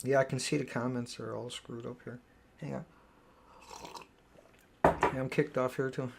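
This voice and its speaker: A man's voice: medium pitch, level tone, measured pace, vocal fry, very noisy. A middle-aged man hosting a live stream, talking casually and emphatically, rambling and repeating himself.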